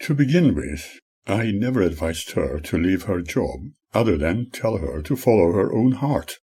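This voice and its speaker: A man reading his own memoir aloud as scripted narration, in a formal, measured manner. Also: low voice, warm tone, breathy edge.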